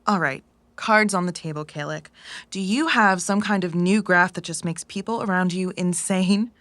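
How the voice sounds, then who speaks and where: A sardonic female runner from a cyberpunk audio drama, acted by a woman. Her voice sits slightly low and is closely, dryly recorded, with a frank, skeptical delivery. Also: moderate pace, fairly bright tone, dry recording, natural voice. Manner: dry, frank.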